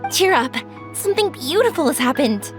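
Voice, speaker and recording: A woman's voice: sits high, big pitch swings, moderate pace, music in the background. An actress voicing a cheerful, kindhearted young woman in a video game, with youthful, earnest and reassuring delivery. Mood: gentle, encouraging.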